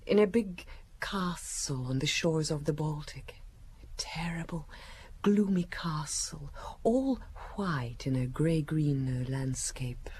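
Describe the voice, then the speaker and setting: An actress playing a secretive, languid young woman in an audio drama, coquettish and timid, with hesitant pauses. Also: sits low, lively intonation, a little mellow, full character voice. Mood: wistful. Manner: hesitant, breathy pauses, coy.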